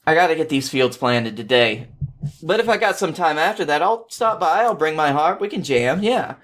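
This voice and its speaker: A man's voice: on the high side, animated, normal speed, a bit bright, pitched above the performer's own voice. A man voicing a friendly, easygoing young farmer in a story, casual and obliging. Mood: casual, apologetic.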